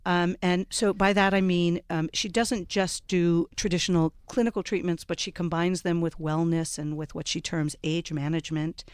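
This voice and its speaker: A woman hosting a radio panel show, introducing her guests in a measured way with plenty of ums and uhs. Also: fairly low pitch, fairly even intonation, clean tone, no room sound. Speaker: radio talk show host. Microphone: studio microphone.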